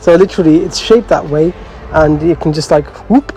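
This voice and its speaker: A man with a fairly high but soft, mellow voice chats casually as a host. He talks in loose slang with some swearing and a bemused, storytelling manner. Music plays behind him. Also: moderate pace, rough recording. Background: background music.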